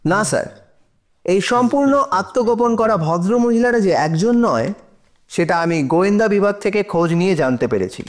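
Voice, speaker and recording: A man playing an earnest advocate arguing deliberately and respectfully in court, in an audio drama. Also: sits high, lively intonation. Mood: firm, explaining. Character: Aniket, earnest male advocate arguing a trafficking case in court. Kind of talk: Bengali audio drama acting. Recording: dramatic music under the voice.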